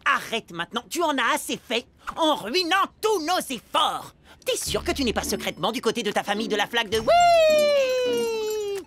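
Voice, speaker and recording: Excitable, high-pitched amoeba schoolboy. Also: female voice, normal speed, a little hiss or hum. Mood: exasperated. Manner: exclamatory, dramatic, slangy. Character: Squish, excitable schoolboy amoeba, hot-headed but remorseful. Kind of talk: animated children's cartoon dub. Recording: music behind the voice.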